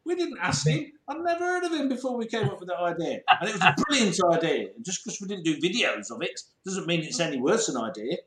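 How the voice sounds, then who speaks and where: A man co-hosting a podcast, jokey and animated in casual banter, in an echoey room. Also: high-pitched, quick pace, hardly any pauses. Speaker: podcast co-host.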